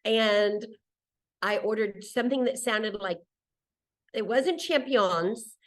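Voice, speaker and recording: A woman's voice: mid-range voice, takes it slowly. Woman in her sixties telling rambling stories. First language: English. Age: 60s. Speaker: interview guest, solo traveler. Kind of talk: casual conversational storytelling.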